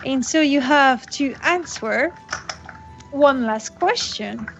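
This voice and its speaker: Slow-talking woman hosting a webcast. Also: high-pitched, animated, gravelly. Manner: slow, laughs often, non-native English phrasing. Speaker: podcast host. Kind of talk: casual webcast chat. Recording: dull lo-fi audio with faint music.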